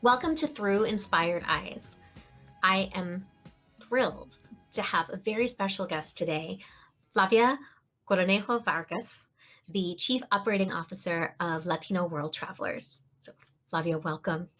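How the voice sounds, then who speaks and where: A woman's voice: fairly low pitch, unhurried, fairly bright tone, faint music behind. A woman hosting an interview podcast, greeting and praising her guest with effusive enthusiasm. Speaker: podcast host.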